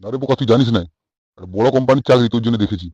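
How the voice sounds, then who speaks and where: A man's voice: medium pitch, racing, warm tone, slightly rough, no room sound, highs cut off, close to an everyday voice. A man voicing an irritable, crude middle-aged village father in a comic cartoon, scolding bluntly with a West Bengal accent. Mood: coaxing.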